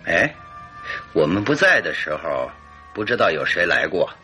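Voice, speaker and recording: An actor playing a keen-eyed, wry gentleman detective in a radio drama, husky and deliberate in delivery. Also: deep voice, sing-song, soft background music, exaggerated voice. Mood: observant. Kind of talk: Chinese radio drama acting.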